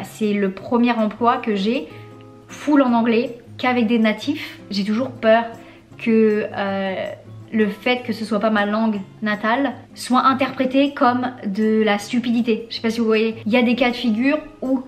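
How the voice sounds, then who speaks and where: Young woman from France, casual slangy vlogger with a highish voice. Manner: candid and chatty, with slang and frequent laughs. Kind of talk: casual confessional vlog.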